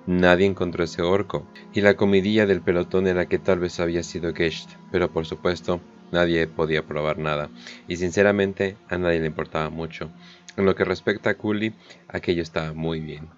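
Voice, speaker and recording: A man's voice: deep voice, steady tone, a little fast, highs cut off, faint music behind. A man narrating a scripted war story. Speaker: audiodrama narrator.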